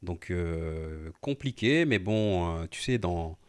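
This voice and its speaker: A man in his thirties with a very low, deep voice, born in Portugal and raised in France. He co-hosts a podcast and tells his story in a loose, familiar chat, often stopping to check that the listener is following. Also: slow pace. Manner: relaxed, familiar, frequent fillers and repeated "tu vois". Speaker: podcast co-host, entrepreneur. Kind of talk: casual conversational storytelling.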